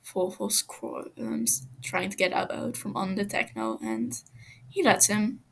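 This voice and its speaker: A woman's voice: medium pitch, steady tone, takes it slowly, vocal fry, a little hiss or hum. A woman reading fan fiction aloud as scripted story narration.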